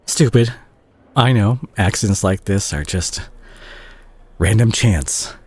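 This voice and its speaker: A very low, breathy male voice of an actor plays a doctor still tender toward an ex. He is gentle and self-conscious, hesitating as he speaks straight to the listener in an intimate audio drama, with awkward humor over his remorse. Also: animated, takes it slowly, full character voice. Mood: shaken, regretful. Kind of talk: intimate scripted audio roleplay, addressed to listener.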